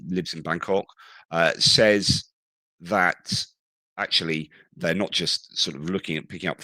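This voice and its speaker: A hoarse man with an extremely deep, bright, forceful voice talks through a war news update. He rambles and stops to correct himself often, and the dry, close sound has almost no room echo. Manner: rambling, with frequent self-corrections. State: hoarse from shouting at concerts. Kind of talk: casual spoken analysis.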